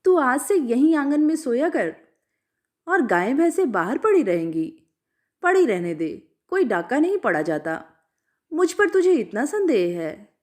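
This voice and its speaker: A woman's voice: high-pitched, big pitch swings, a little slow. A woman voicing a bitter, domineering older village mother in a story, scolding and accusing. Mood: cold, commanding.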